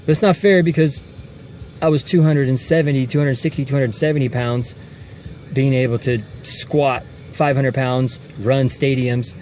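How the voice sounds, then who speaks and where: A man's voice: mid-range voice, fairly even intonation, moderate pace, a little mellow, slight background noise. A man in his forties talking casually to a phone camera from inside a car at night, rambling and restarting his sentences often. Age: 40s. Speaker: fitness vlogger.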